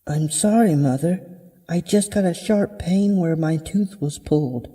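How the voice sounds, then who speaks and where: A man's voice: a little high, measured pace, dark tone, slightly rough, big-room sound, pitched above the performer's own voice. A man playing a timid sixteen-year-old girl in a horror story, speaking meekly and hesitantly in a raised voice. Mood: pleading. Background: music.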